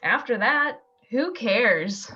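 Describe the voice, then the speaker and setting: Radio drama: female actor as a devoted young small-town girl, sweet and unhurried, a little muffled on a video call. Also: on the high side, lively intonation, pushed and bright, slightly rough, full character voice. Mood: happy, pleading. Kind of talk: amateur radio play acting.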